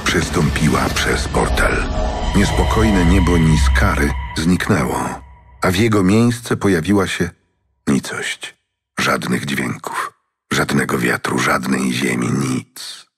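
A man's voice: very deep voice, slow, fairly bright tone, breathy edge. A man narrating a fantasy audiobook in a scripted, dramatic storytelling style. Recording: narration over music.

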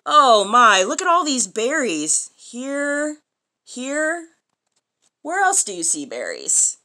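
Female picture-book reader, thirties: higher-pitched, very animated intonation, expressive child-directed delivery. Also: takes it slowly. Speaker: storyteller reading a children's picture book aloud. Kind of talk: read-aloud to a young child, with questions and commentary. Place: small furnished room indoors.

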